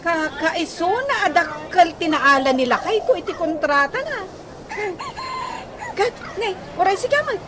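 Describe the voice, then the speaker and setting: A woman's voice: extremely high pitch, animated, measured pace, over a noisy crowd. An actress playing a curious, chatty village woman in a radio comedy drama. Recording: very noisy radio drama, roosters and birds.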